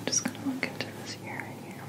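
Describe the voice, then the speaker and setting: A woman speaking in a soft, breathy whisper, gently and reassuringly playing a doctor in an ASMR roleplay, close to the microphone. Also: brisk. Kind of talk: soft-spoken ASMR medical checkup roleplay.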